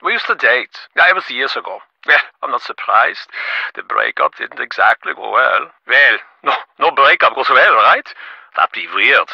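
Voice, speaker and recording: A man's voice: mid-range voice, sing-song, creaky, breathy edge, dry recording, exaggerated voice. An actor playing a brash, self-important rich businessman over a phone line in a video game, boastful and glib. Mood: glib, dismissive. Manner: boastful, glib, fast-talking salesman patter. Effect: phone filter. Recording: muffled, band-limited phone line.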